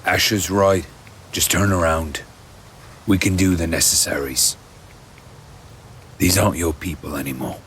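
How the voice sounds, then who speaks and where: An actor playing a king's rough, blunt, menacing brother in a fantasy audio drama. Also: deep voice, fairly even intonation, measured pace, pauses often, fairly bright tone, a little hiss or hum. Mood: blunt, urging.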